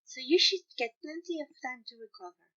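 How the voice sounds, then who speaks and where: A fatherly caretaker figure voiced by a woman in an audio story. He speaks gently and apologetically in a raised, bright voice. The recording is a bit muffled. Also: high voice, animated, fairly quick, voice pushed up. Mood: reassuring.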